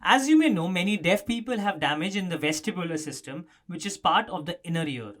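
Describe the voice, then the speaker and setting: A man's voice: sits high, expressive, fairly quick, a bit bright. A man presenting a scripted explanatory news story as a narrator.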